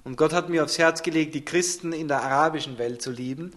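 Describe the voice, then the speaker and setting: A man's voice: a little high, fairly quick, a bit bright. A man interpreting a sermon live, turning each short phrase into a fuller sentence right after the speaker.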